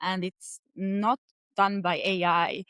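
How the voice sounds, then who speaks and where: A woman's voice: medium pitch, little pitch movement, slow, a bit bright, smooth, no room sound, clean studio sound. A woman in her thirties with an Estonian accent, answering interview questions earnestly with many hesitations. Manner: frequent hesitations and restarts, earnest. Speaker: podcast guest.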